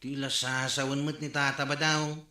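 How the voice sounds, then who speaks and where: Casting: male actor as disapproving Ilocano villager, forceful tone, roomy echo, radio drama. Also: medium pitch, little pitch movement, normal speed, lightly acted. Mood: grumbling.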